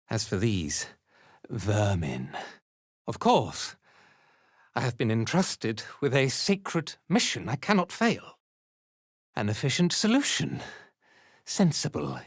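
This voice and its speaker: Sneering, arrogant drow zealot, swooping delivery. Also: male voice, medium pitch, a bit bright, no room sound, studio quality, full character voice. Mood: disgusted, then brisk disdain. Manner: sneering, disdainful, pompous. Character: arrogant, cruel male drow zealot commander. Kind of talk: fantasy video game voice acting.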